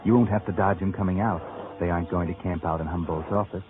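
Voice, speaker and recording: A man's voice: sits low, steady tone, fast talker, natural voice. A man playing a sinister, taunting narrator in a 1940s radio suspense drama, speaking insinuatingly and urgently to a fugitive. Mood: mocking, smug. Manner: insinuating, urgent second-person address. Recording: tinny old radio, loud music behind.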